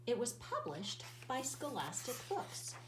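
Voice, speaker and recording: A woman teacher reading a children's picture book aloud in an expressive, sing-song storytelling voice, drawing out words. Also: fairly high pitch, unhurried, roomy sound, distant mic, noisy background.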